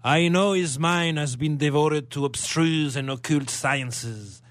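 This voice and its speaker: A man playing an earnest, concerned gentleman in a radio drama, with formal, measured theatrical delivery. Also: fairly high pitch, no echo. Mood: earnest, worried.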